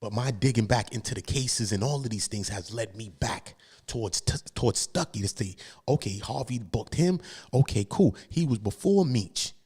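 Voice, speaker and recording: Low-voiced American male livestream host, slangy and casual, hammering points home by repeating phrases. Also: expressive, moderate pace, a little mellow, husky. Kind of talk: casual street commentary on court cases.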